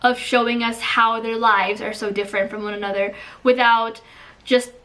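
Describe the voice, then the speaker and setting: A woman's voice: fairly high pitch, little pitch movement, moderate pace, bright, forceful tone, far from the mic. A woman giving a casual spoken book review, chatty and full of "like" fillers. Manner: chatty, filler-heavy with frequent "like".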